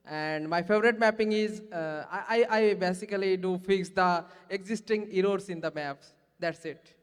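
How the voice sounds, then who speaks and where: A man's voice: high-pitched, clean tone. A man from Bangladesh, a new board director, introducing himself carefully. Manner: careful, slightly halting. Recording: hall reverb.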